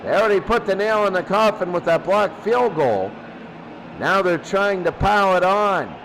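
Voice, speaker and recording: Clear background noise surrounds a man calling a football game. His voice is high, and he follows the action in short, clipped play-by-play calls. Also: expressive, normal speed, rough recording. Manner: clipped, present-tense calls. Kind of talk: live sports commentary. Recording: loud noise behind the voice.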